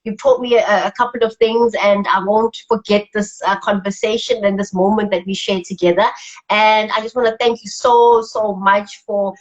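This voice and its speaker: A woman hosting a casual video-call interview, animated and affirming, with frequent laughter. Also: medium pitch, normal speed, highs cut off. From Africa. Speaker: interview host.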